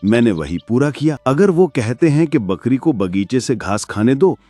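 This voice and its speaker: A man's voice: mid-range voice, sing-song, normal speed, dark tone, dry recording. A man voicing a loyal elephant chief minister who serves the king, in a Hindi cartoon. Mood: dutiful. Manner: dutiful, deferential to the king.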